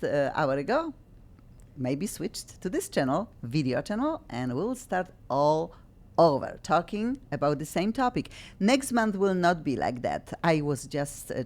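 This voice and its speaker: A woman hosts a talk radio show in English, her voice sitting low. She talks in a halting way and often stops to restart or search for a word. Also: a little mellow. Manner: halting non-native English with many restarts.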